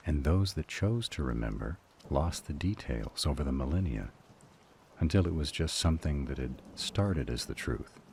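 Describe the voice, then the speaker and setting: A man performs an extremely deep-voiced demon elder for an audio roleplay. He is weary but firm, arguing in measured, grave phrases. Rain ambience runs faintly behind him. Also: darkish tone, slight background noise, heavily acted. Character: powerful male demon elder, council member, weary and firm. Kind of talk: dramatic audio roleplay acting.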